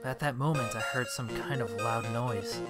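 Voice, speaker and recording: A woman's voice: a bass voice, moderate pace. A woman voicing a naive, emotional young male student on trial, stammering and pleading in a dramatic fan dub. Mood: shaken. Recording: loud music behind voice. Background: loud music.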